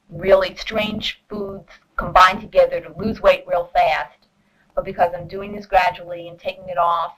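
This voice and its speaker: A woman's voice: on the low side, a bit bright, poor audio. A woman talking casually and reflectively about her own experience in a documentary interview. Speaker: dieter giving a testimonial. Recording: 1970s documentary film soundtrack.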